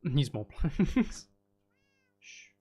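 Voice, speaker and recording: A man's voice: extremely slow, warm tone. A man thinking aloud as he plays a building game, chatting casually with musing, playful asides. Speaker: streamer playing a building game.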